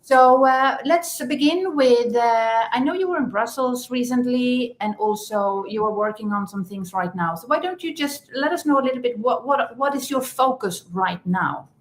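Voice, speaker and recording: A woman's voice: a little high, moderate pace, hardly any pauses. A woman hosting a live stream podcast, welcoming her guest in an enthusiastic, effusive way. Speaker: podcast host. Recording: echoey room.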